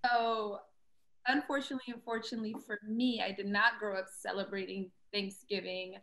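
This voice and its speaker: A woman's voice: medium pitch, flat delivery, normal speed, a bit bright, low-quality recording. A woman cookbook author, from Somalia and Kenya, speaking reflectively on a video call from home. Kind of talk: panel answer. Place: home room at night.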